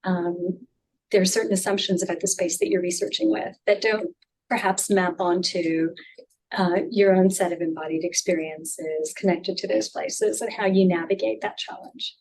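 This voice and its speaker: A woman with a somewhat low pitch poses a question to the speaker. She phrases it carefully in an academic register, hedging her points as she goes. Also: fairly even intonation, normal speed, a little mellow, low-quality recording. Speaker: discussant asking questions.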